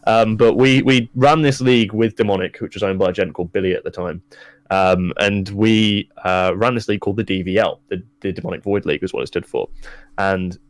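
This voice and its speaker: A man's voice: on the low side, a little fast, dry recording. A young man, talking casually and at length as an interview guest, with many restarts and fillers. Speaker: esports caster and guest.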